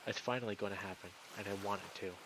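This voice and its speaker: A man's voice: on the low side, steady tone, fast talker, background noise. A man reading a visual novel aloud as a streamer, in dramatic first-person narration.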